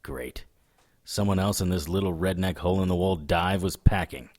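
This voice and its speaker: Casting: a gruff, very low-voiced tough guy, terse and sardonic, narrating noir-style audio drama. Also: moderate pace, darkish tone. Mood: wry, hard-boiled. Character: gruff, hard-drinking, wry male brawler narrating his own story. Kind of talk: hard-boiled first-person audio drama narration.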